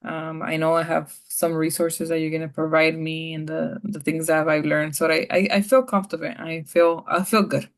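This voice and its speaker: A woman playing a client in a practice therapy session over a video call, soft-spoken with many fillers. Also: low-pitched, flat delivery, normal speed, hardly any pauses. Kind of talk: role-played therapy session.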